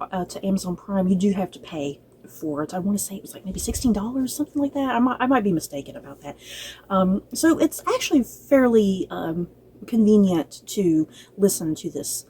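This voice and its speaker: A woman's voice: lowish voice, darkish tone, a little hiss or hum. A woman giving a casual, unscripted review to viewers as a channel host, chatty and rambling, often circling back to repeat herself.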